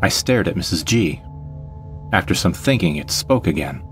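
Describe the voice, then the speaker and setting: Male narrator for first-person audio drama, low register, music underneath. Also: lively intonation, normal speed, fairly bright tone. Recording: faint music behind the voice.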